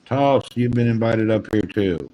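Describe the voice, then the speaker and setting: A man's voice: on the low side, a little slow, mellow, lo-fi sound, a little hiss or hum. A man hosting a casual group chat on a live stream. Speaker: livestream host.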